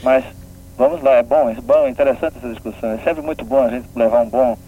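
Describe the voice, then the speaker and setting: A man's voice: medium pitch, steady tone, fast. A man from Pernambuco with a northeastern Brazilian accent, explaining things didactically as a radio interview guest and often checking with tag questions. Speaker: guest, regional development agency official. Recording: narrow phone-like band, faint noise.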